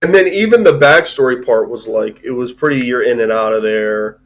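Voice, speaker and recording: A man talking casually as a host reviewing a film, rambling and halting, with a loose, sweary way of speaking. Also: mid-range voice, a bit bright. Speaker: movie review show host. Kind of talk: casual chat, film discussion.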